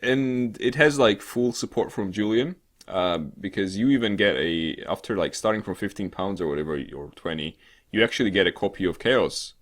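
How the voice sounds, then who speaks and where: A man co-hosting a gaming show in casual, unscripted chat, rambling and halting, with a joking way of talking. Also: fairly low pitch. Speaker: co-host of a weekly gaming news show.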